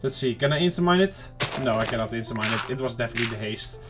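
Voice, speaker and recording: A man giving casual, rambling let's-play commentary to viewers, his throat slightly off and clearing it now and then. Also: medium pitch, animated, fast, music in the background. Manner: rambling, chatty self-talk to viewers. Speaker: gaming channel host.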